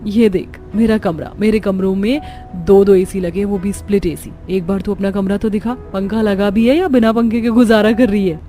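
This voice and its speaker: A woman's voice: mid-range voice, fairly even intonation, moderate pace, warm tone, faint music behind, close to an everyday voice. A woman voicing a young bride in a rich household in a cartoon, showing off and self-pitying. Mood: boastful, taunting.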